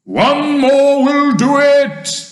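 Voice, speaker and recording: A man voicing an excitable old green grasshopper in a storybook, shouting heartily. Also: very high-pitched, extremely slow, reverberant, voice pushed up, heavily acted. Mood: excited.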